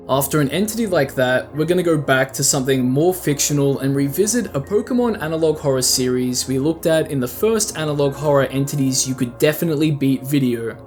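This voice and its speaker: Higher-voiced man narrating over music. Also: a little mellow. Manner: conversational, explanatory, addresses the listener directly. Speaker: host and narrator of a video essay channel. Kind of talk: scripted video essay narration. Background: music under the voice.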